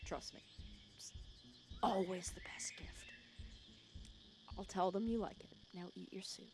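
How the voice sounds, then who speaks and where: A woman's voice: mid-range voice, steady tone, unhurried, music in the background, natural voice. A woman playing a wry, bossy but caring female villain who fusses over her hero nemesis in an intimate ASMR roleplay. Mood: gentle, casual. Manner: intimate, wry, fussing and bossy with soft teasing. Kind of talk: ASMR roleplay acting, one side of a conversation. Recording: clear cricket and insect ambience behind. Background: crickets and outdoor night insects.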